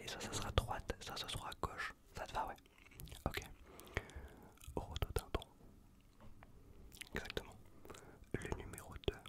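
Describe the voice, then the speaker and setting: A man whispering close to the microphone in an ASMR exam roleplay, giving casual, reassuring instructions in a breathy voice with soft repetitions. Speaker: ASMR performer playing an improvised examiner. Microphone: close USB condenser microphone.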